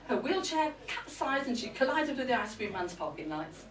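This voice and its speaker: Comic stage monologue: actress as indignant, griping middle-aged wife, highish and very animated, heard in a roomy venue. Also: female voice, moderate pace. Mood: aggrieved, griping. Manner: peevish, complaining, indignant. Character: irritable, aggrieved middle-aged wife ranting alone at health spa. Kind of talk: live comic monologue reading. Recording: roomy live event, distant mic.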